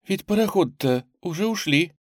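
Man voicing an anxious old lady, raised pitch. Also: animated, normal speed, no echo, clean studio sound, natural voice. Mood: alarmed. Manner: nervous, agitated. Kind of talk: audiobook character acting.

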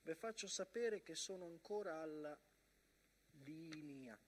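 An actor performing a theatrical monologue as a soldier-narrator of the First World War, reading front-line letters aloud in emphatic, broken phrases. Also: male voice, a little high, expressive, a little slow, a little hiss or hum. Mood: plain, weary.

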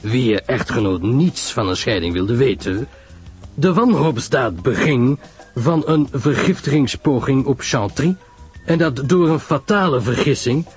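A man voicing a shrewd, courteous, self-assured detective in an audiobook story, husky, deliberate and emphatic in explaining. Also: medium pitch, expressive, soft background music. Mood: explanatory, assured.

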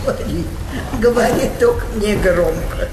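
A woman's voice: mid-range voice, measured pace, noisy background, close to an everyday voice. An older woman playing an imperious, capricious aristocratic old lady in a Russian stage comedy. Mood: impatient. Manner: commanding, haughty. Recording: roomy theatre hall, music behind.

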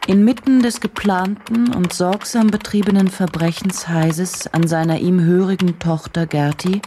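An actress playing a probing, reflective woman journalist in a radio drama, with a deliberate, brooding delivery. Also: on the low side, gravelly, warm tone, a little hiss or hum.

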